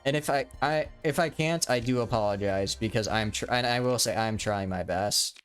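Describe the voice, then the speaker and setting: A man's voice: medium pitch, moderate pace, clean tone, faint music behind. A young man in his twenties, live-streaming a game and chatting casually, rambling with lots of restarts and fillers. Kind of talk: casual live-stream chat while gaming.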